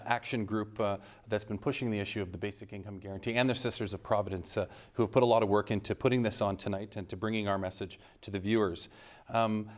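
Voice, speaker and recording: A man who is the sitting member of parliament, giving an earnest debate opening statement with frequent halting pauses. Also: fairly low pitch. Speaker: incumbent member of parliament, candidate.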